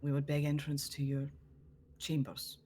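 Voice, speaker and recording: A man's voice: a little high, monotone, moderate pace, warm tone, close to an everyday voice. A man playing a formal, polite adventurer who serves a villainous lord in a tabletop game, speaking in stiff, courteous phrases.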